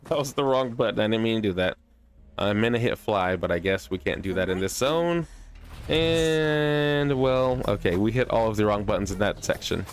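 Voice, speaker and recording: Low-pitched man chatting over gameplay. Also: animated, normal speed, slight background noise. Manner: chatty, playful slang, self-deprecating. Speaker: game streamer. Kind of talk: casual gameplay commentary. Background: music.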